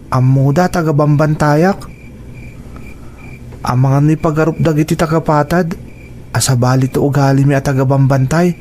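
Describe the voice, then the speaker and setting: A man's voice: a little high, a little mellow, a little hiss or hum, close to an everyday voice. A man with a Filipino accent acting an earnest, determined young son from a poor family in an audio drama.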